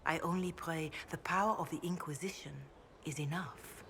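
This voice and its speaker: An actress voicing a devout, grave priestess in a video game, speaking in a measured, reverent way. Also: female voice, low voice, steady tone, a bit bright, slight background noise. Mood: solemn encouragement. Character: devout, grave chantry priestess, calm and counselling. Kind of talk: video game dialogue, scripture recitation.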